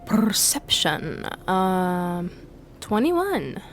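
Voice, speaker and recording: A woman playing a tabletop fantasy game, roleplaying casually in a hesitant, soft-spoken way. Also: mid-range voice, sing-song, drawn-out words, a little hiss or hum.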